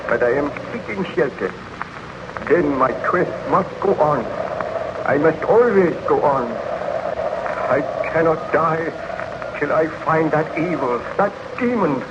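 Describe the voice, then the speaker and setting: An actor playing a weak, haunted baron with archaic, halting, melodramatic speech in a 1930s radio drama. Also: male voice, fairly high pitch, expressive. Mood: weary, anguished. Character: weak, tormented baron wandering the ice, haunted. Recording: muffled, very noisy 1930s radio recording.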